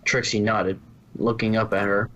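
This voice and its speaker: Lowish guy reading fan fiction out loud as narrator, trips on a line sometimes. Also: level tone, normal speed, a little hiss or hum, rough recording.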